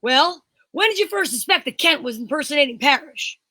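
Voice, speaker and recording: A woman playing a blunt, excitable police inspector in an amateur radio play, speaking in an exclamatory, incredulous manner. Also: high-pitched, brisk, dry recording. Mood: businesslike. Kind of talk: amateur old-time radio play reading.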